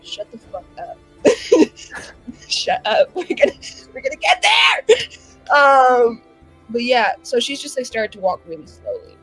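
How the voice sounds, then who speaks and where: A woman at a tabletop game, talking through her character's plans in long, rambling stretches. Her pitch swings widely and animatedly, and she breaks into laughter often. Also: huge pitch swings, slow, long pauses, fairly bright tone, husky, soft background music, poor audio. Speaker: player. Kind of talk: playing a tabletop character, casual chat.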